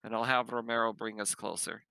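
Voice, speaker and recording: A man's voice: on the low side, fairly even intonation, fairly quick, gravelly, sharp tone, clean tone, no echo, rough recording. A man playing a tabletop roleplaying game, talking casually in character as he leads a mission.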